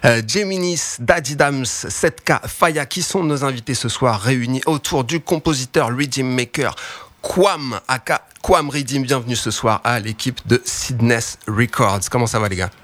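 A man hosting a casual radio interview in a studio, relaxed, dropping English words like "all right" between French phrases. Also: medium pitch, unhurried. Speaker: radio show host. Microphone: studio microphone with headphones.

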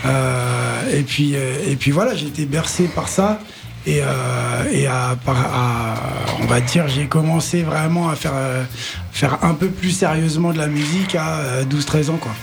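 A man's voice: fairly high pitch, unhurried, gravelly, music in the background. A man, a reggae musician, chatting casually in an interview, friendly and teasing.

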